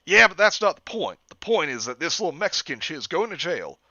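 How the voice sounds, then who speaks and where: A man's voice: fairly high pitch, sing-song, fairly quick, no room sound, pitched above the performer's own voice, exaggerated voice. A man voicing a bigoted, trigger-happy male police officer in a drawling hick voice, on a dull lo-fi recording. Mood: yelling. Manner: drawling, loud and blustering.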